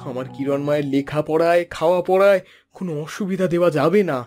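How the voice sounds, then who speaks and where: A man's voice: a little high, fast, a little mellow, soft background music, close to an everyday voice. A man voicing a poor fisherman and doting father in a fairy-tale cartoon, earnest and full of emotion. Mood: joyful, tender.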